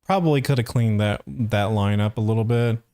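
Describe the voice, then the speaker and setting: A man's voice: lowish voice, fairly quick, warm tone, smooth, dry recording. A young man who voice acts, giving casual, analytical reaction commentary in a hesitant, searching way. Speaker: voice actor reacting and reviewing.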